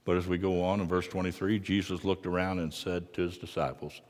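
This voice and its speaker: A middle-aged man preaching a sermon in a large church sanctuary, moving from quiet asides to loud, fervent passages. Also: deep voice, flat delivery, fairly quick, big-room sound. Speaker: pastor.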